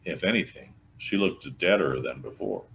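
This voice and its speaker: A man's voice: sits low, normal speed, vocal fry, fairly bright tone, a little hiss or hum. A man reading a mystery novel aloud as a scripted narration, in the manner of a volunteer storyteller. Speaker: volunteer audiobook reader.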